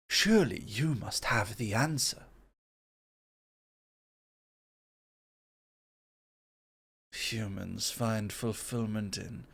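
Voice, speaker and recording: Guy acting as a calm brainy god, mid-pitched, formal and a bit superior, asmr roleplay. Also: husky, heavily acted. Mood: pensive. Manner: formal, measured, gently superior. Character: composed, intellectual god of foresight addressing a mortal.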